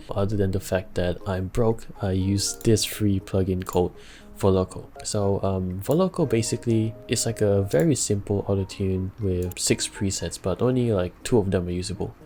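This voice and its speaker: Low-voiced laid-back young man teaching. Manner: relaxed, chatty, laughs between steps, many fillers. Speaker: music production tutor. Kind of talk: casual screen-recorded tutorial.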